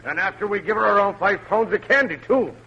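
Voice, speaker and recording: A brash policeman from an old radio comedy. His voice is high and forceful, with blunt, folksy phrasing. The recording is dull and noisy. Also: animated, quick pace, gravelly. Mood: harried. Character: brash, harried working-class policeman. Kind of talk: 1940s radio comedy acting.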